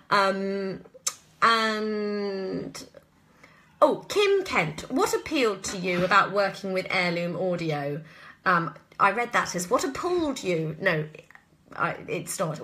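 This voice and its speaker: A woman's voice: mid-range voice, sharp tone. A British woman chatting casually in a live Q&A at home, rambling and self-deprecating as she goes. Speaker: actress and voice actor answering viewer questions. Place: at home, indoors.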